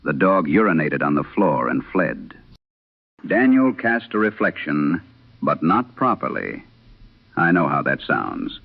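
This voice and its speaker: A man narrating as a troubled wife reading her haunted letter in a vintage horror radio drama, solemn and measured. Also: deep voice, exaggerated voice. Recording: dull lo-fi vintage radio sound.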